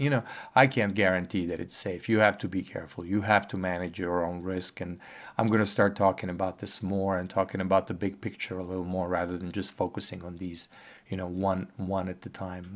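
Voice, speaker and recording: A hoarse man giving a casual, rambling video update, with many pauses and fillers. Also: sits low. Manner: halting, rambling, filler-heavy. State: hoarse from a cold. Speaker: online earnings vlogger. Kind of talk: casual talking-head update.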